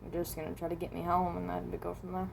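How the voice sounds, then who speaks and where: Woman acting as a resigned animatronic rabbit, slightly low voice, hesitant and halting, fan roleplay monologue, faintly noisy home recording. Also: monotone, creaky. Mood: uncertain, willing. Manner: hesitant, halting, self-correcting.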